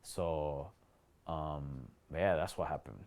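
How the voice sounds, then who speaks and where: A man's voice: extremely low pitch, fairly even intonation, slow, darkish tone. A man with a New York accent chatting casually as an actor being interviewed, slangy and repetitive, often acting out remarks as he goes. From New York City. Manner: slangy, repeats himself, acts out quoted remarks.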